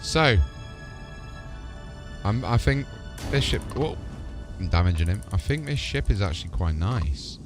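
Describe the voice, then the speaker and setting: A man's voice: fairly low pitch, animated, a little slow, long pauses. A man giving live gameplay commentary, talking to himself as he reacts to what happens in play. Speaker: video game streamer. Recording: music behind the voice. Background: game music.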